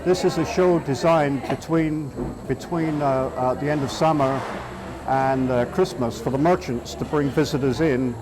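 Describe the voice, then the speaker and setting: A man's voice: mid-range voice, measured pace, music in the background, low-quality recording. A middle-aged man from England with a southeast English accent, an artist speaking casually in an interview, hesitant with many fillers and restarts. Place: outdoors in a town.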